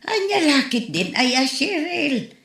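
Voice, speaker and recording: A woman's voice: high voice, very animated, measured pace, a bit bright, heavily acted. A woman playing an exasperated, scolding mother of grown daughters in a comedy audio drama. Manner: nagging, chiding. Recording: echoey, roomy sound.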